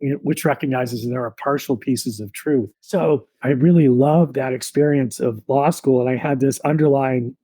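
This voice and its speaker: A man in his thirties, a podcast guest, telling his story in a relaxed, reflective way with many fillers. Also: medium pitch, moderate pace, few pauses, no room sound.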